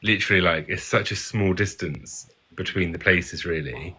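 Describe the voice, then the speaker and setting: Posh-sounding English man, very deep. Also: normal speed, slightly rough. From England. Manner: animated, self-mocking. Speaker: guest. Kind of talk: casual live stream chat.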